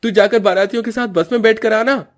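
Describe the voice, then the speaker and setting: A man's voice: high voice, fairly even intonation, fast talker, soft background music, voice pushed up, natural voice. A man voicing a young groom in a cartoon story, a mildly scolding brother and husband. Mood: surprised, scolding. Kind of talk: Hindi cartoon story acting.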